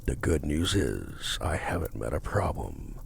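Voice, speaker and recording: Very deep, gravelly possessive demon. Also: male voice, sing-song, slight background noise, exaggerated voice. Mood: possessive, commanding. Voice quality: very deep, gravelly, breathy at times. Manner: slow, formal, theatrical, commanding. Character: possessive male demon, courtly, menacing and seductive. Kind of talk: ASMR roleplay acting.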